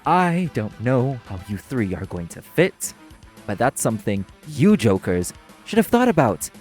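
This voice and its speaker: An actor playing a primly formal, sarcastic, exasperated waiter in an audio roleplay. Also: male voice, medium pitch, sing-song, unhurried, a little mellow, faint music behind. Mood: sarcastic, vengeful amusement.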